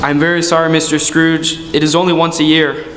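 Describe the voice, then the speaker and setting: A man's voice: a little high, level tone, a little fast, a bit bright, lightly acted. A man playing a meek, humble clerk and family man in a live radio play. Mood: timid, amazed. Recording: echoey hall, noisy stage recording.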